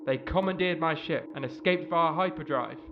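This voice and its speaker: A man's voice: fairly high pitch, normal speed, close to an everyday voice. A man playing a formal patrol pilot in an audio drama, making a clipped military report. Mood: businesslike. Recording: dull lo-fi radio transmission, faint noise.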